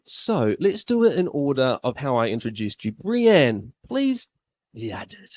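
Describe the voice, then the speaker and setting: A man's voice: mid-range voice, big pitch swings, unhurried, a little mellow. A man in his thirties with a New Zealand accent, emceeing a live event in a classroom-style room, chatty and self-deprecating.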